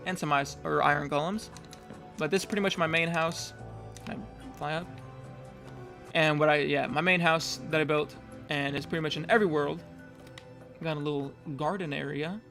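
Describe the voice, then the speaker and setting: A young man casually touring his game world, rambling and correcting himself, his voice getting hoarse. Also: fairly high pitch, a bit bright. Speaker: gamer giving a world tour.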